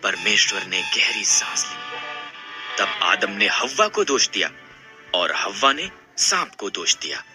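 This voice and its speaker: A man's voice: on the low side, big pitch swings, unhurried, over music. A man narrating a scripted Bible story in a dramatic storytelling style.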